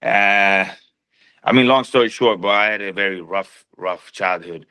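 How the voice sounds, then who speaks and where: A man in his thirties with a lowish voice that still carries a bright, forward edge. He talks in a relaxed, slangy street manner as a guest on a podcast. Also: unhurried, clear, resonant tone. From Sweden. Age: 30s. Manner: laid-back, slangy street talk. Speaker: boxer, guest. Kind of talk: casual chat.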